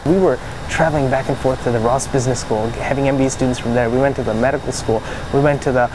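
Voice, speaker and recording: Young man, middle pitch, eager, interview, noisy background. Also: steady tone, fast talker. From Bangladesh. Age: young adult. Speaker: engineering student, start-up founder. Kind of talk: interview answers. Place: lab office, indoors.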